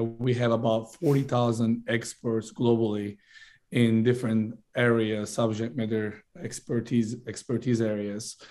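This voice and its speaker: A man from Turkey, a founder making a fluent business pitch in a podcast interview, correcting himself on the fly. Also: a little mellow. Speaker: startup founder guest.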